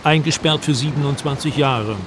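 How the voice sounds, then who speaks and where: An actor playing an investigative reporter who narrates a jungle search in a measured, literary way for a radio drama. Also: male voice, a little high, noisy background, lightly acted. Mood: measured, reflective. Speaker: first-person narrator of a radio documentary drama.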